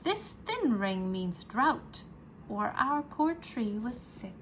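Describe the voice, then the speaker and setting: A woman reading a children's book aloud like a teacher, expressive and carefully enunciated for young listeners. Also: on the high side, takes it slowly, slight background noise. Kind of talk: children's read-aloud narration, rhyming nonfiction.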